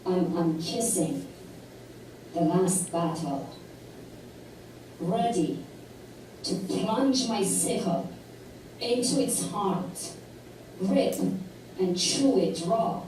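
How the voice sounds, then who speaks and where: A woman poet giving a measured, deliberate poetry reading at a public talk in a lecture hall. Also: on the low side, lively intonation, darkish tone, a little hiss or hum. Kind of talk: slow recited poetry reading. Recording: echoey hall, distant mic.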